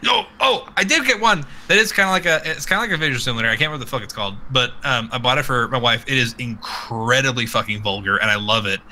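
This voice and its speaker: Bright, sharp-voiced man rambling over background noise. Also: medium pitch, quick pace, few pauses, low-quality recording. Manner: crude, swearing, rambling with exaggerated quoted reactions. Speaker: podcast co-host. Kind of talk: casual comedic chat about video games.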